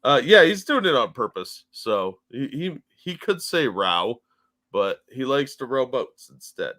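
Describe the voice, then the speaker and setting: A man's voice: mid-range voice, sing-song, a little slow, sharp tone. A man co-hosting a casual commentary show, laid-back and casually profane, answering viewers' chat messages. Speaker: co-host.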